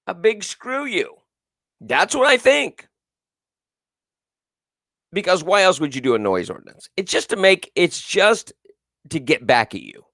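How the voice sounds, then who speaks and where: A man's voice: sits high, sing-song, measured pace, creaky, long pauses, sharp tone, dry recording, clean studio sound. A male talk show host with a big, carrying voice, giving emphatic, repetitive political commentary and reading viewers' comments aloud. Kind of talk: live political call-in commentary.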